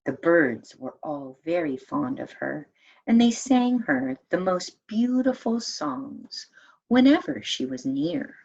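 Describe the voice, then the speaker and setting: Animated woman reading a storybook, lo-fi sound. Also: lowish voice, creaky, breathy edge. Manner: animated, deliberate, dramatic emphasis for young listeners. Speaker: read-aloud storyteller. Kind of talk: expressive children's storybook reading. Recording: dull, lo-fi sound.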